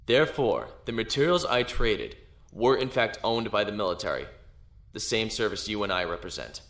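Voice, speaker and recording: Low-voiced, defiant naval officer, roomy echo. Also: male voice, expressive, normal speed, fairly bright tone. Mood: confident, insistent. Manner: formal, assured, argumentative. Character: defiant young male space-navy lieutenant defending himself. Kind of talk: science fiction audio drama acting.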